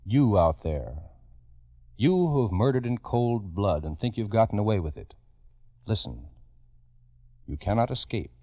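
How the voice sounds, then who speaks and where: A man's voice: on the low side, sing-song, unhurried, long pauses, no echo. A man hosting in 1950s true-crime radio style, speaking solemnly and emphatically, with dramatic direct address to listeners. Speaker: radio host and narrator. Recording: dull vintage radio recording.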